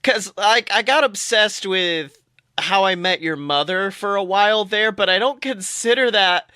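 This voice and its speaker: A young adult podcast co-host chatting casually about fandom in an animated, exaggerated and joking way. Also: medium pitch, unhurried, clear, resonant tone, no echo, studio quality. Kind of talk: casual fan podcast chat.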